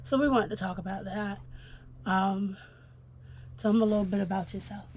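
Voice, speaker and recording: A woman's voice: medium pitch, moderate pace, slight background noise. A woman from Houston, hosting a casual podcast chat while a little under the weather, with plenty of ums. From Houston, Texas. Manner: relaxed, filler-heavy, reads from notes. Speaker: podcast host. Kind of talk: casual conversation.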